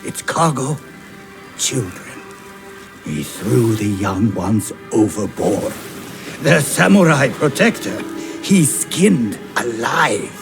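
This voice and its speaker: An actor playing a grim, menacing storyteller who narrates gruesome legends in an ominous, drawn-out manner for a video game. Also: male voice, mid-range voice, animated, vocal fry. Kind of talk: scripted dramatic narration. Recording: music behind the voice.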